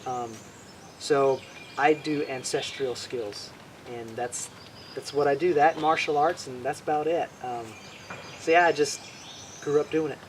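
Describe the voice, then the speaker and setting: A man's voice: on the high side, unhurried. A man from Hawaii talks about his craft in a loose, meandering way. He restarts often and sounds at ease. The recording carries a faint outdoor hum of birds and nature. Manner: relaxed, rambling with many restarts. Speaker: bushcraft instructor, guest. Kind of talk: casual interview chat.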